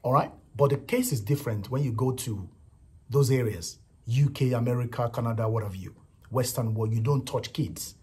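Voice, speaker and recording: Nigerian-accented male vlogger, very raspy voice, repetitive emphatic opinion delivery. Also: mid-range voice, moderate pace, dark tone. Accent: Nigerian English. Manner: repetitive, emphatic, frequent "you know" and "right". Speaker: vlogger commenting on a viral clip. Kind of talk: casual opinion commentary.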